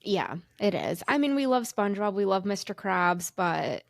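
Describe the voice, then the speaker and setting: Woman co-host, mid pitch, upbeat and laughing, close dry recording. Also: expressive, moderate pace, vocal fry, no echo. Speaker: podcast co-host, former cruise director. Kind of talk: casual podcast chat.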